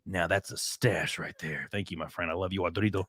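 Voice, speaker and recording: A man's voice: low voice, brisk, husky, no echo, studio quality. A man from the American South with a Southern accent, hosting a casual livestream chat and reading viewer comments aloud. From southern United States. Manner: animated, laughing, reads viewer chats aloud. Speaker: podcast host.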